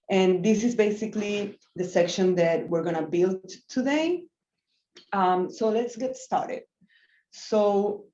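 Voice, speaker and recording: A woman's voice: mid-range voice, mellow. A woman in her thirties from Venezuela giving a live screen-share design tutorial, easygoing, with many ums and self-corrections. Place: small office room, indoors. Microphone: laptop webcam mic on a video call. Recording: roomy, dull lo-fi call audio.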